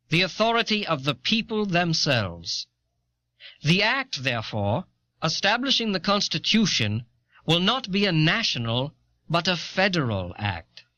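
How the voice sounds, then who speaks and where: A man's voice: sits high, sharp tone, dry recording, full character voice. A man playing an earnest, learned 18th-century American statesman, reading his writings formally and oratorically on an old cassette. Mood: earnest, argumentative. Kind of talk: dramatic reading of historical writings. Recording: dull, dry lo-fi old cassette.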